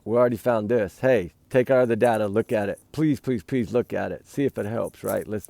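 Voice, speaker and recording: A man talks to his viewers in a rambling, earnest way from a campfire at night. His voice is hoarse and gravelly, with a mellow, dark tone. The sound is dry and close, with no echo. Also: mid-range voice. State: losing his voice. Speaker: livestream host. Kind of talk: casual night talk to viewers.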